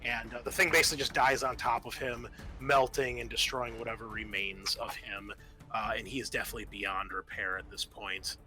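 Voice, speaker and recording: A man acting as game master, narrating a tabletop roleplaying game in a halting, improvised way. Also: medium pitch, steady tone, pushed and bright, soft background music.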